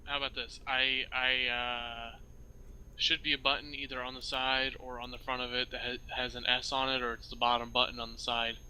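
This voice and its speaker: A man playing in a role-play game, talking casually with a clear, crisp voice. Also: medium pitch, little pitch movement, moderate pace, bright, forceful tone, rough recording.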